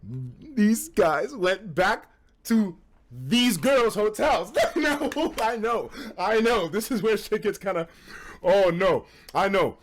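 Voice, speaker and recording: Young American male streamer, high voice, slangy casual storyteller who swears freely. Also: slow. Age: young adult. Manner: animated, slangy and profane, acts out quoted dialogue. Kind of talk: casual storytelling to a live chat.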